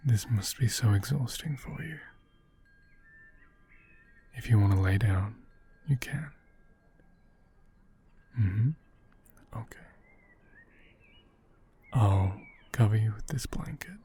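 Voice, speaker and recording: A man playing a gentle, protective caretaker in a soothing ASMR roleplay, speaking softly and tenderly and often slipping into a breathy whisper. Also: on the low side, a little hiss or hum. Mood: soothing, hushed. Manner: tender, slow, soothing, reassuring. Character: gentle, protective male caretaker comforting a hurt doll. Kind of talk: soft-spoken ASMR roleplay, partly whispered.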